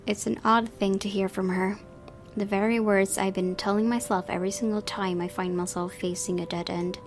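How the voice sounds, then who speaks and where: A woman's voice: mid-range voice, steady tone, moderate pace. Woman narrating a game over music. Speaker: gaming video host. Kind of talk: reading visual novel narration aloud and commenting.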